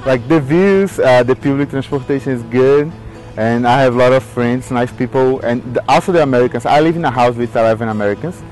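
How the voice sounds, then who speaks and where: A man's voice: mid-range voice, brisk, a little mellow, low-quality recording. Brazilian-accented man, faint music. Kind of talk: casual unscripted interview.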